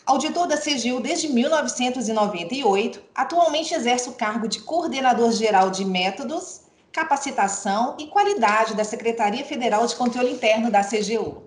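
A woman's voice: fairly high pitch, echoey room. A middle-aged woman hosting a podcast, formally welcoming a guest and reading his credentials. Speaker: podcast host. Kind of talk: podcast interview introduction.

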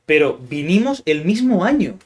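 Spaniard host, easygoing interviewer. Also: male voice, high voice, very animated, moderate pace, slightly rough. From Spain. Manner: friendly questioner, many fillers. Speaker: podcast host, Spanish teacher. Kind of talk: casual interview chat.

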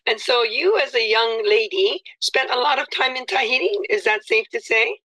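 A woman's voice: on the high side, moderate pace, bright, forceful tone, poor audio. A woman hosting an interview, effusive and complimentary, with many fillers. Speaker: interview host. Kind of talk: friendly interview chat.

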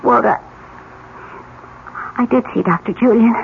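High-voiced, fretful American housewife. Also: female voice, measured pace, vocal fry. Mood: weary, hesitant. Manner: plaintive, self-pitying, hesitant. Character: weary, fretful housewife and mother of forty-one. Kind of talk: 1970s radio drama acting. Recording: muffled, noisy 1970s radio recording.

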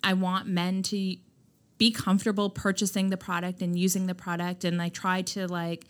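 A woman guest on a chat podcast talking candidly about founding her own skincare brand, loud and emphatic, with lots of "like" fillers. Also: lowish voice, monotone, normal speed. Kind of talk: candid conversational interview.

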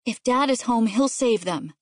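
A woman's voice: high-pitched, lively intonation, measured pace, no room sound, clean studio sound, voice pushed up. A woman voicing an earnest young boy, a worried and insistent son in an audiobook. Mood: reassuring. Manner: plaintive, insistent, childlike. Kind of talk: audiobook character voice.

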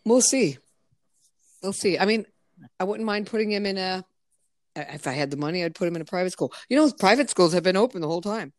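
A woman's voice: medium pitch, expressive, brisk, darkish tone, dry recording, clean studio sound. A woman hosting a podcast, chatting bluntly with frequent swearing. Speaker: podcast host. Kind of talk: casual podcast chat.

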